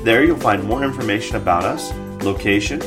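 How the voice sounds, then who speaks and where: A man's voice: on the low side, lively intonation, fairly quick. A man reading a scripted podcast introduction as the announcer. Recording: guitar music bed behind voice. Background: plucked guitar music.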